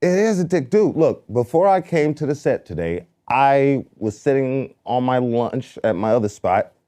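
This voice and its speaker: A man, co-hosting a show and telling loose, animated stories full of slang. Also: mid-range voice, moderate pace. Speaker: podcast co-host. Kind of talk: casual chat.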